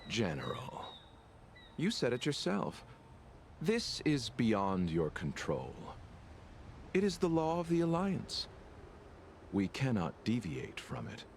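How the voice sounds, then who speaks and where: An actor playing a polite, scheming male healer in a game, arguing his case calmly and persuasively. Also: medium pitch, slow, long pauses, a little hiss or hum, full character voice. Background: game music.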